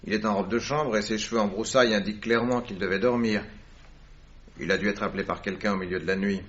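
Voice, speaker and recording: An actor playing a self-assured, analytical gentleman detective with dry wit in a French radio drama, speaking deliberately and formally. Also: on the low side, fairly even intonation, dull audio, a little hiss or hum, natural voice. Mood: brisk, observant. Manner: deliberate, precise, formal phrasing.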